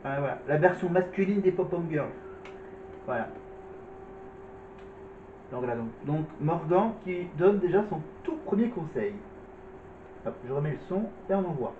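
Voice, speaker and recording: A man talks over his clips in a halting, rambling way, full of hesitations and restarts. His higher voice sounds far off in an echoey room, thin like a phone line. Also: animated. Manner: hesitant, many fillers and restarts. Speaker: vlog host commenting on clips. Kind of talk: casual spoken commentary. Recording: echoey, distant, phone-like with noise.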